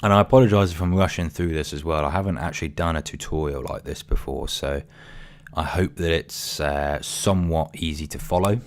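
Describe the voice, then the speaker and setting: A man, voice getting croaky, talking through a coding tutorial casually with many ums and apologies. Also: a bass voice, a little fast. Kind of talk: screen-recorded coding tutorial.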